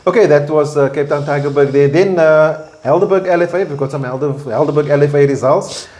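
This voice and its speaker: Brief: South African male host, a bit high-pitched, breezy, laughs easily. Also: darkish tone. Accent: South African English. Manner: upbeat, laughs easily, quick asides. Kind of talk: casual sports chat.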